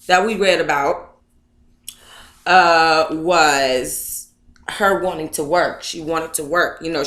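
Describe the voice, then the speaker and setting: A woman's voice: on the low side, sharp tone. Echoey American woman hosting a book club. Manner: sassy, colloquial, addresses listeners as honey and baby. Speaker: book club livestream host. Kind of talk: casual livestream chat and reading a memoir aloud. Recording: echoey, roomy sound.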